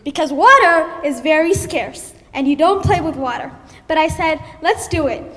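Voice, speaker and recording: A woman's voice: sits high, expressive, normal speed, fairly bright tone. A young woman from Ethiopia telling a personal story on stage at a live story slam, animatedly acting out her own panicked thoughts. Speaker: student storyteller. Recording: live stage with long hall reverb.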